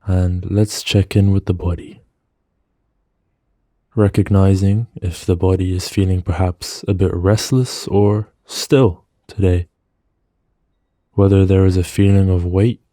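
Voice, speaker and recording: A man narrating a guided meditation in a soothing, gentle manner, with measured phrases and pauses, close on the mic. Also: sits low, fairly even intonation, no echo.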